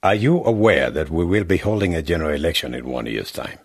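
An actor playing a vain, pompous member of parliament who insists on being called honorable, in a radio drama. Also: male voice, a bass voice, very animated, quick pace, breathy edge, no room sound, studio quality, exaggerated voice. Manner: pompous, insists on his title. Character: vain smooth-talking male politician, middle-aged.

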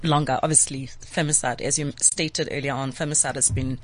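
A woman's voice: extremely low pitch, steady tone, brisk, a little hiss or hum. A South African woman hosting a talk show, nasal from recovering flu, speaking hesitantly with many fillers and restarts.

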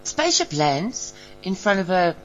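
A woman's voice: on the low side, big pitch swings, a little slow, lo-fi sound, faint music behind. A woman casually describing cartoons aloud, amused and laughing between phrases.

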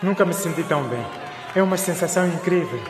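A man's voice: a little high, fast talker, natural voice. A man playing an earnest, polite teenage boy in a radio drama. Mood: proud, earnest. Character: earnest, modest teenage boy, school swimmer. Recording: echoey hall, noisy crowd behind.

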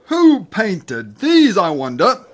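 A woman's voice: mid-range voice, huge pitch swings, slow. A woman voicing an eager male visitor in a children's story, curious and enthusiastic.

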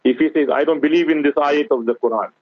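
A man's voice: a little high, fast talker, no room sound. A man with a South African accent, a guest scholar giving an earnest religious talk on radio and often addressing the host. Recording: tinny phone-like radio broadcast.

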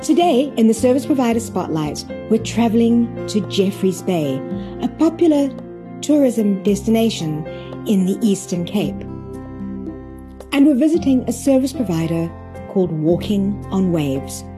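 A South African woman speaks as a podcast host at a middling pitch, with music clearly present. She moves between conversational questions and prepared presenting to the listener. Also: big pitch swings, unhurried. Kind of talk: conversational interviewing and scripted presenting.

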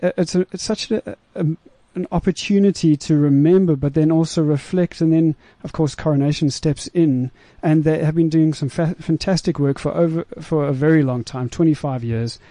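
A man hosting a conversational studio interview, haltingly repeating words as he searches for phrasing. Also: on the high side, warm tone, no echo. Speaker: radio show host. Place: radio studio.

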